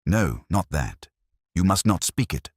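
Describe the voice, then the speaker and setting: Man voicing a frightened printer, deep and halting. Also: sing-song, a little mellow, breathy edge, no echo, clean studio sound, full character voice. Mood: pleading. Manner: pleading, halting. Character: frightened, evasive male printer, cornered and trembling.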